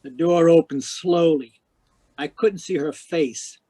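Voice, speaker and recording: Male actor as tough noir detective, slightly high voice, terse, cynical, slangy, old-time radio drama. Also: slow, mellow. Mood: world-weary narrating. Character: tough, wisecracking hardboiled private investigator narrating his case. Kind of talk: 1940s radio noir acting.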